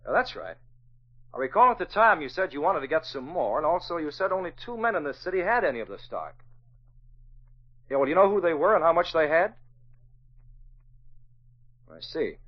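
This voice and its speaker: Suave, animated young publisher. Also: male voice, on the high side, moderate pace, pauses often, breathy edge. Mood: brisk, friendly. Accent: American. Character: suave young newspaper publisher, secret vigilante. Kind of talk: 1940s radio drama acting. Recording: muffled, hissy vintage 1940s radio recording.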